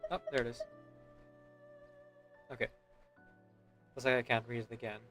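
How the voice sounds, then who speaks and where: A man's voice: mid-range voice, level tone, moderate pace. A man doing casual gameplay commentary, musing to himself in a hesitant, trailing way. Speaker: streamer. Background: game music behind the voice.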